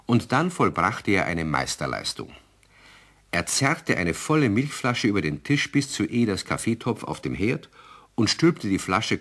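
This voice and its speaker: A man narrating a children's radio play as a genial, expressive storyteller. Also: low voice, heavily acted.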